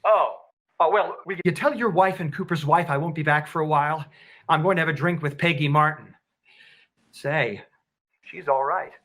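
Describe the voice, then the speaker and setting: An actor playing a breezy, hurried man-about-town in a 1940s radio sitcom style. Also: fairly high pitch, fairly bright tone, natural voice.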